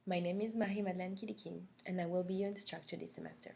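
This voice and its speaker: A young woman from Corsica with a French accent, introducing herself as an online French instructor in a relaxed, unscripted way with frequent ums. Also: lowish voice, quick pace, gravelly, slight background noise. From Corsica, France. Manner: friendly, unscripted, with frequent ums and soft laughs. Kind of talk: self-introduction to an online class.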